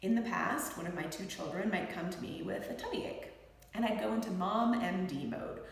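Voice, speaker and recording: A middle-aged woman, a physician, reading her own book aloud in an expressive, measured and deliberate way. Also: mid-range voice, gravelly. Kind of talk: expressive audiobook-style read-aloud. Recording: very echoey room with long reverb.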